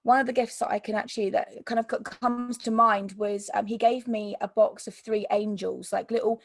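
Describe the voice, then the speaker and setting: A woman with a croaky voice telling her personal story as an interview guest, hesitant, with many ums and restarts. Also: mid-range voice, flat delivery, normal speed, no room sound. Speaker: interview guest, mental health YouTuber.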